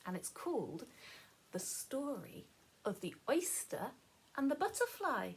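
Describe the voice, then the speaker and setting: A woman with a high, slightly husky voice reads a story to young children. Her pitch swings widely in a sing-song, expressive way. She explains things as a teacher would and keeps prompting her listeners with questions. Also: unhurried, poor audio. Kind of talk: animated children's story read-aloud with explanations.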